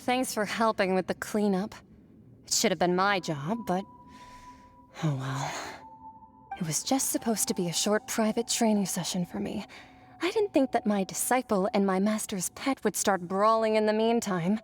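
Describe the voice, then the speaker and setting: A woman voicing an aloof, blunt master swordswoman in a video game, speaking in a deadpan, matter-of-fact way. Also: mid-range voice, normal speed, soft background music, natural voice. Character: aloof, blunt female master swordswoman, coolly self-assured. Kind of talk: scripted video game dialogue.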